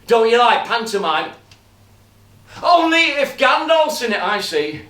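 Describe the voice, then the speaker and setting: An actor playing a brash, flirty pantomime dame who is an ugly sister, teasing the audience in a stage comedy. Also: male voice, very high voice, very animated, unhurried, bright, forceful tone, exaggerated voice. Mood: cheeky flirting. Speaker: stage performer. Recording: roomy and distant.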